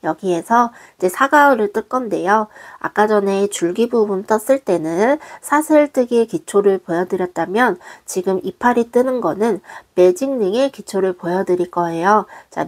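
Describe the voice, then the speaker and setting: A woman, hoarse from a cold, patiently walking viewers through a craft tutorial step by step in a gentle, polite way. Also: medium pitch. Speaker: crochet designer teaching.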